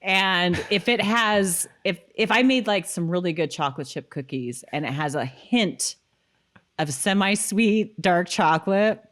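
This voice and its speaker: A woman's voice: lowish voice, unhurried, fairly bright tone. A woman co-hosting a podcast in casual recap chat, acting out quotes and teasing. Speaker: podcast co-host.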